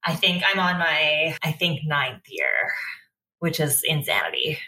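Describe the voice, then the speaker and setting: Woman from canada, lowish bright voice, casual candid podcast guest chat. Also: very animated, unhurried, rough recording.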